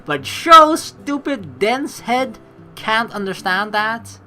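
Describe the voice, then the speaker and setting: A woman's voice: fairly high pitch, big pitch swings, slow, pushed and bright, soft background music. A young woman giving casual, slangy game commentary, reading aloud and reacting as she goes. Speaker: let's play host.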